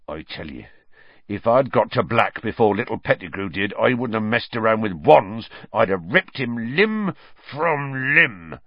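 A man reading an audiobook and voicing a huge, gruff male gamekeeper who growls his words in a rustic way. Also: lowish voice, very animated, a little slow, no echo, lo-fi sound, pitched above the performer's own voice, full character voice. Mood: angry.

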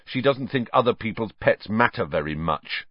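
A man's voice: fairly low pitch, lively intonation, normal speed, slightly rough, no room sound, lo-fi sound. A man voicing a grumpy, stubborn teenage schoolboy in a storybook, blunt and sullen. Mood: angry.

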